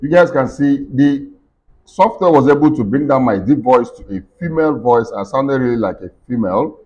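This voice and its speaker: A middle-aged man with a deep voice, giving a step-by-step software tutorial at his computer with plenty of fillers. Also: lively intonation, moderate pace. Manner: explains step by step with many fillers and restarts. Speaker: tech tutorial host. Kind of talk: screen-recorded software walkthrough. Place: indoor room at a computer desk. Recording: dull lo-fi screen recording.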